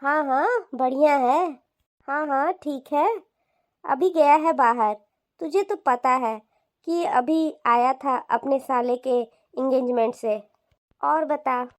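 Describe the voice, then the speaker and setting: A woman's voice: sits high, slow. A woman voicing a scornful, bossy middle-aged mother-in-law with a mocking, dismissive way of talking in a cartoon family drama. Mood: casual. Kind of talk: animated family drama dialogue.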